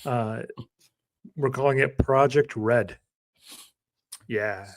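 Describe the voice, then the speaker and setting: A man co-hosting a casual podcast, talking in a relaxed, rambling way full of fillers. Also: mid-range voice, slow pace, rough recording. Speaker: podcast co-host.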